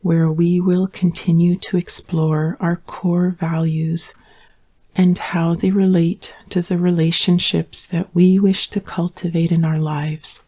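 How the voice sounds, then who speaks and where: A woman leading a guided yoga nidra relaxation in a calm, soothing manner, leaving long pauses between gentle instructions. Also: on the low side.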